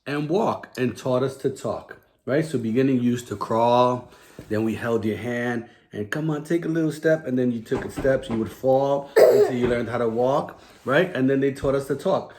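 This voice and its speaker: A man's voice: medium pitch, animated, normal speed, a little mellow, slight background noise. A man hosting a children's story time, explaining simply in a chatty way and often checking with "right?". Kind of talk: children's storytime reading with casual chat.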